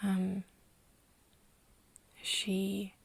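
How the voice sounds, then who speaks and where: A woman in an ASMR roleplay playing a nervous, caring friend, speaking softly and haltingly and often slipping into breathy whispers. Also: mid-range voice, completely flat delivery, full character voice. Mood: hesitant, reminiscing. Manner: hesitant, halting, with pauses and sighs. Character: nervous, caring young woman confiding in her vampire friend. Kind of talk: ASMR roleplay, intimate scripted acting. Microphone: close microphone, dry room.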